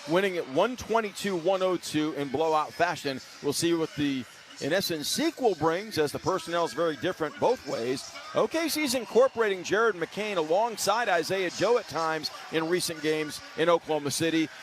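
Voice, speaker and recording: Male sports radio host, voice a bit high, smooth wordy announcer phrasing, leads into questions. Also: slight background noise. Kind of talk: polished sports broadcast commentary and questions.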